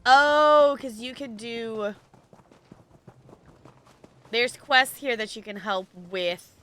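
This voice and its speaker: Chatty female streamer, fairly high and bright, casual gameplay commentary, stop-start delivery. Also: extremely slow, dry recording. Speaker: video game live streamer. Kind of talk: casual livestream chat over gameplay.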